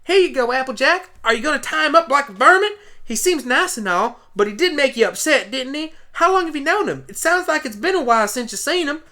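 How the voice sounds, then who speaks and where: A man voicing an eager, excitable little girl pony with folksy speech in an amateur radio play. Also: extremely high pitch, fairly quick, few pauses, voice pushed up, full character voice. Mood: eager, excited.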